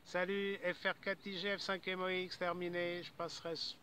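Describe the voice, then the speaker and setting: A man's voice: high voice, little pitch movement, moderate pace, pushed and bright, a little hiss or hum. A man chatting casually as an amateur radio operator, rambling and repeating his words as he goes. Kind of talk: casual ham radio chat.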